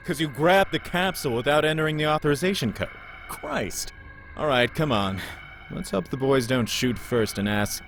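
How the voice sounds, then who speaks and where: A gruff, creaky-voiced tough man played by a male actor, irritated and bossy. His pitch moves a lot, in visual novel style, with faint noise behind. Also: animated, moderate pace, a bit bright. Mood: impatient.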